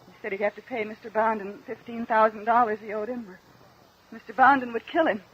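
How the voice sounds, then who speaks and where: An American woman playing a deferential, halting widow giving testimony in a mid-century radio drama. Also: fairly high pitch, fairly even intonation, close to an everyday voice. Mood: subdued, earnest. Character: polite, hesitant widowed wife testifying on the witness stand. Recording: dull, lo-fi old radio recording.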